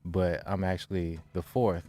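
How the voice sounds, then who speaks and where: A man's voice: low voice, little pitch movement, a little slow, dark tone. A man in his forties, a guest hip hop artist, chatting in an easygoing, agreeable way. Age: 40s. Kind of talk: casual interview chat. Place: radio studio.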